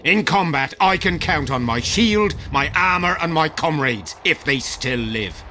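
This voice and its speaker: An actor playing a proud, rough army veteran in a fantasy video game, speaking in a blunt, rustic soldierly manner. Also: male voice, on the high side, expressive, unhurried, bright, forceful tone, slight background noise. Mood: scornful, stern. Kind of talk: video game dialogue acting.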